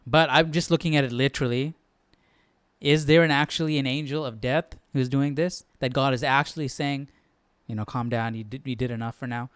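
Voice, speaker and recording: A man's voice: mid-range voice, fairly even intonation, fairly quick, a bit bright, clear, resonant tone, no echo. A man hosting a Bible study livestream, talking casually and thinking aloud in questions. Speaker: host of a live Bible study.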